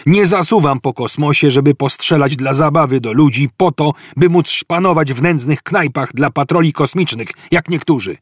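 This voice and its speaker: A man's voice: fairly high pitch, moderate pace, hardly any pauses, slightly rough, no echo, clean studio sound, voice pushed up. A man playing an indignant, wordy space policeman in a comic audiobook, bellowing his earnest speeches. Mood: indignant, self-important. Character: megaphone-wielding space policeman, indignant, self-righteous, wordy.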